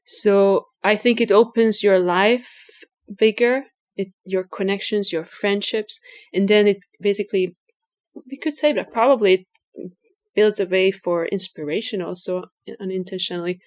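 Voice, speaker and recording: A woman with a Hungarian accent answers interview questions in a relaxed, searching way. She pauses and restarts often as she works out her thoughts. Her pitch sits in a middling range. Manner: hesitant, thinking aloud with restarts and fillers. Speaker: guest artist. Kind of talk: casual interview.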